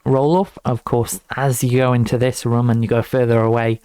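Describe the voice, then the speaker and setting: A man's voice: mid-range voice, flat delivery, moderate pace, warm tone, clean tone. A young man giving a casual, unscripted walkthrough of his own project in an informal, rambling, lightly amused way. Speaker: student game developer presenting own project.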